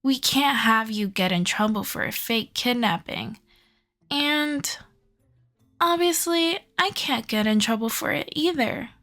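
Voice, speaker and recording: A woman's voice: fairly high pitch, expressive, a little slow. A woman playing an obsessive, sweetly possessive young kidnapper in love, coaxing and doting in an ASMR audio roleplay. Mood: doting, reassuring. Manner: doting, coaxing, softly controlling.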